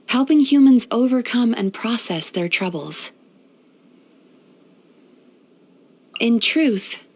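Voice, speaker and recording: A woman playing a gentle, composed yokai therapist in a video game, soft-spoken and measured. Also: mid-range voice, natural voice. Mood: calm, reflective. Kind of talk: video game character voice lines.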